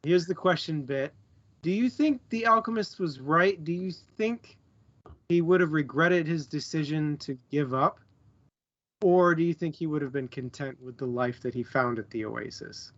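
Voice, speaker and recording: A man casually discussing books in an informal, slangy and deliberate way. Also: a little high, fairly bright tone, dull audio. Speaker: book club stream co-host.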